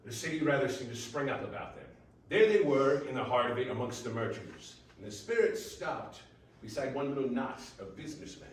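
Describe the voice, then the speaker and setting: A man's voice: medium pitch, expressive, brisk. A man giving scripted story narration for readers theater, recorded at a distance in a very echoey room. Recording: very echoey room, distant mic, faint noise.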